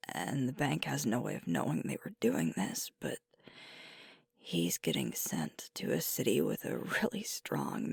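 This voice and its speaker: A woman playing a sweet, weary werewolf girlfriend in an ASMR roleplay, confiding softly and haltingly in a breathy voice. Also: rumbling low, no room sound, studio quality, exaggerated voice. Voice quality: soft, breathy, whispery. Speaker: ASMR voice actor. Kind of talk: ASMR roleplay, intimate one-sided conversation.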